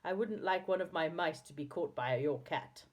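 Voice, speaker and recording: A woman's voice: deep voice, moderate pace, natural voice. A woman voicing a muddled, hard-of-hearing old storybook man who exclaims, repeats himself and gets indignant. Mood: calling, alarmed. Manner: exclaiming, repeating words, indignant when confused.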